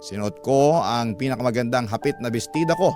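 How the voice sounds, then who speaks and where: A man's voice: medium pitch, expressive, fairly quick. A man narrating a scripted first-person story in the style of a radio drama. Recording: music under the narration. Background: background music.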